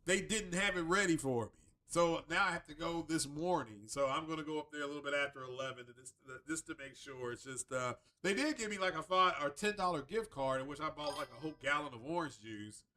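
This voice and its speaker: Male stream host, raspy from a cold, bright forceful tone, loose rambling chat. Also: on the high side, fairly quick. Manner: rambling, chatty, loose restarts. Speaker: tech channel host. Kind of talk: casual live-stream chat.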